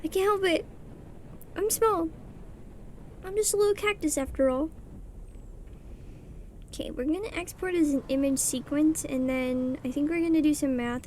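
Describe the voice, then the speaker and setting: A young woman with a soft voice chatting casually and rambling while she draws on a livestream. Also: sits high, slight background noise. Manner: chatty, rambling, self-deprecating, mutters settings under her breath. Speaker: streamer. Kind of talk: casual chat while drawing on stream. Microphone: streaming mic.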